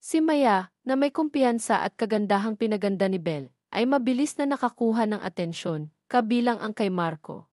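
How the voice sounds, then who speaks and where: A woman's voice: on the high side, expressive, normal speed, a little mellow, no room sound, studio quality. A woman reading a scripted dramatic audio story as its narrator.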